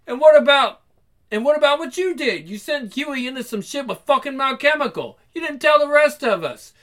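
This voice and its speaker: A high, sharp-edged voice of an enraged comic-book man, acted by a male reader. He swears heavily and slangily, squaring up for a fight with every phrase. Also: expressive, normal speed. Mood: furious. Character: furious foul-mouthed man confronting a powerful enemy. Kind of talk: comic book read-aloud with character voices.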